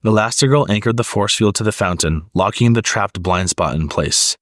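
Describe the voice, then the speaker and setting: Low-voiced man reading a children's story. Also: moderate pace, fairly bright tone, no echo, studio quality. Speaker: storybook reader. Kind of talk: children's story read-aloud.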